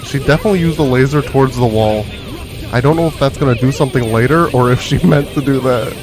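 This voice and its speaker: A man's voice: mid-range voice, fast, poor audio. A man live-streaming a game, giving casual slangy commentary and reacting aloud as he plays. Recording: game music behind. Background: video game music and sound.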